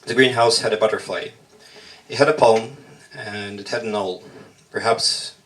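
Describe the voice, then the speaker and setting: A man whose first language is Russian performs a spoken-word poem in measured, halting short phrases at a slam poetry workshop. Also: fairly low pitch, a bit bright, far from the mic, rough recording.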